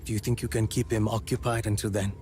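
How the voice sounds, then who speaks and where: An actor playing a coldly polite, menacing villain boss in a game, suave and condescending. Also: male voice, on the low side, flat delivery, fast, a little mellow, slight background noise, natural voice. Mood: calmly menacing. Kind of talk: video game cutscene acting.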